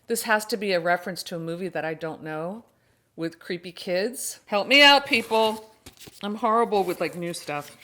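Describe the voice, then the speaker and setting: A woman with a mid-pitched voice hosts a casual vlog. She talks in a chatty, expressive way, often exclaiming and thinking out loud as if to friends watching. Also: moderate pace. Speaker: host of a horror book channel.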